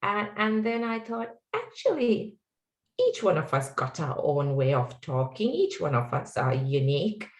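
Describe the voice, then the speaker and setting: A woman's voice: lowish voice, sing-song, unhurried, echoey room. A middle-aged woman with a Sri Lankan accent, speaking as a guest on an online panel in an earnest, reflective way with many fillers. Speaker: guest speaker, tech executive.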